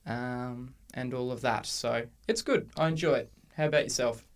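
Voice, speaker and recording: A man in his twenties with an Australian accent, co-hosting a casual podcast and chatting in a relaxed way with frequent ums and restarts. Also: medium pitch, moderate pace. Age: 20s. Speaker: podcast co-host. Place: university room.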